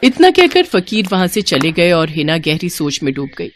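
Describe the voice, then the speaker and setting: A woman's voice: fairly low pitch, big pitch swings, normal speed, a little hiss or hum. A woman narrating a scripted moral story as a dramatic storyteller.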